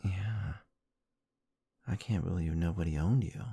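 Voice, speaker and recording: A man doing intimate roleplay ASMR, murmuring softly and affectionately in a soothing, breathy way close to the microphone. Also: low-pitched, a little slow, dry recording. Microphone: close-up microphone, dry.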